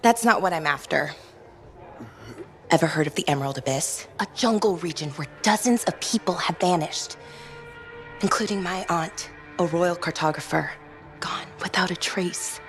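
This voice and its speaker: An actress playing a feisty, impulsive young female city guard in a video game, brisk and eager. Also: lowish voice, a bit bright. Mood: intrigued. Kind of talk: video game cutscene acting. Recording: faint background music.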